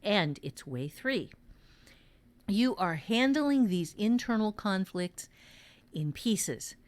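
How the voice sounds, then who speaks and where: A woman teaching fiction writing in a casual podcast conversation, speaking deliberately and repeating phrases for emphasis. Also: mid-range voice, lively intonation.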